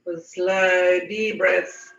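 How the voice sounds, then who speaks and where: A woman leading a guided meditation in a soothing manner, with unhurried pauses and repeated phrases. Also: lowish voice, little pitch movement, gravelly, a bit bright. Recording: muffled, echoey, distant from mic.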